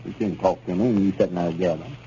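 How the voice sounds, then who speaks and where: A pompous impatient arkansas storekeeper, mellow folksy voice, muffled old time radio. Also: male voice, low-pitched, quick pace. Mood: exasperated, scolding. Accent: rural Arkansas. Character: self-important, impatient male country storekeeper, bossy know-it-all. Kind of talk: 1930s radio comedy acting. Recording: muffled, noisy 1930s radio recording.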